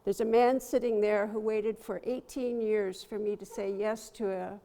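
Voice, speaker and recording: An older woman artist from Toronto with a soft voice, telling stories slowly and with gentle humor to a live audience in a gallery. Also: medium pitch, fairly even intonation. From Toronto, Canada. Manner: slow, storytelling, gently humorous. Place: gallery hall. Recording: long hall reverb.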